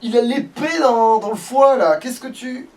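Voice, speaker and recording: A man's voice: very high-pitched, measured pace, darkish tone. A man doing casual let's play commentary in a grumbling, sweary way. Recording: noisy, distant microphone.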